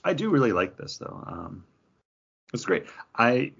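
A man's voice: mid-range voice, very animated, measured pace, creaky, fairly bright tone, slightly rough. A man chatting casually as a podcast host, giving his take on a drink. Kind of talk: casual chat, beer review. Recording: slightly dull, lo-fi recording.

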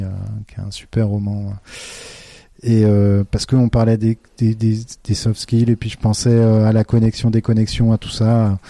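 A man's voice: lowish voice, warm tone, no echo. A middle-aged man, a teacher from France, talking in a thoughtful, hesitant way with many fillers and restarts. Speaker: teacher, podcast guest. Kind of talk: casual interview talk.

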